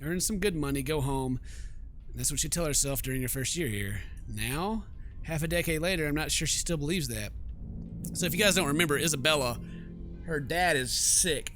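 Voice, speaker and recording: A male gaming YouTuber with a bright, slightly high voice over faint music. He reads game text aloud and breaks into joking, theatrical commentary.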